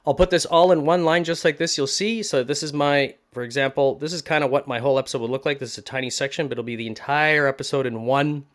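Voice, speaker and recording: A man with a medium-pitched voice hosts a tutorial. He talks informally, explaining each step in a casual way. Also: steady tone, fast, few pauses, fairly bright tone. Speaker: voice acting tutorial host.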